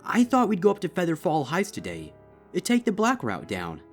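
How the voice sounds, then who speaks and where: Man voicing a high, very animated, affable stallion. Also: normal speed, heavily acted. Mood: enthusiastic. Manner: upbeat, encouraging, falsely friendly. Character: affable young stallion ski instructor masking cold cruelty. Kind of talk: audiobook-style character dialogue. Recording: music behind the voice.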